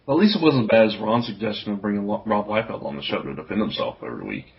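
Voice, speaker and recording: A man's voice: on the low side, big pitch swings, fast talker, vocal fry. A man hosting a podcast in casual group chat, jovial and given to chuckling as he talks. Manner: jovial, chuckles through sentences. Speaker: podcast host. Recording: dull lo-fi audio.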